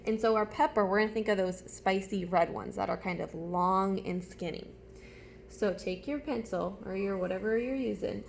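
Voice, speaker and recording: A woman teacher speaks to young children in a gravelly, medium-pitched voice. She guides them through writing with simple questions and letter-by-letter spelling. Some noise sits behind her voice. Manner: instructional, guiding, asks questions and spells words out. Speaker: early elementary teacher. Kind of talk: read-along writing lesson for young children.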